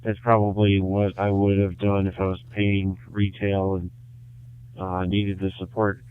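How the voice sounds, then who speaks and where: A low-pitched man talks in an even, level tone, his voice smooth and clean despite coming through a tinny two-way radio. He pauses often and restarts his sentences while he explains things to the host. It sounds like a casual call-in on a ham radio channel. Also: no echo. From Michigan, USA. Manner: halting, many fillers and restarts. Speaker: caller on a ham radio net. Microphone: handheld radio transmitter.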